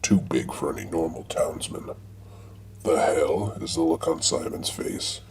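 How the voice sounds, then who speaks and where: A man reading scripted comic narration for an audio drama in a deadpan way. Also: rumbling low, measured pace, slight background noise.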